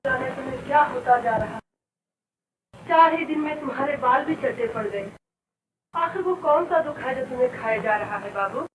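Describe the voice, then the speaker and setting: A woman's voice: high-pitched, moderate pace, far from the mic, close to an everyday voice. An actress playing a humble, sorrowful young woman in old Hindi film melodrama, speaking in a plaintive, pleading way. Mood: worried. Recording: echoey, dull, noisy old film soundtrack.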